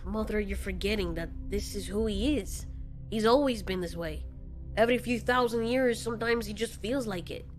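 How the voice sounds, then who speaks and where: A woman's voice: medium pitch, normal speed, soft background music, natural voice. A woman voicing a cold male fire demon kaiju in an audio story, blunt, sardonic and slangy. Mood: dismissive.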